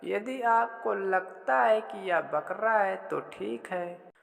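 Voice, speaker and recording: A man's voice: high voice, slow, vocal fry, a bit bright, soft background music, heavily acted. A man voicing a sly forest swindler in a children's story, speaking with mock respect and insinuation. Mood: feigned surprise. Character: sly male forest swindler feigning polite concern. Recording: long hall-like reverb.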